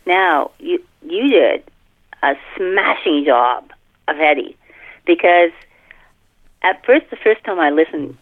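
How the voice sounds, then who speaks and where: A middle-aged woman talking casually in a podcast interview as an author, halting and often repeating and rephrasing her phrases. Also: on the low side, expressive. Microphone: telephone. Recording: muffled, tinny telephone line.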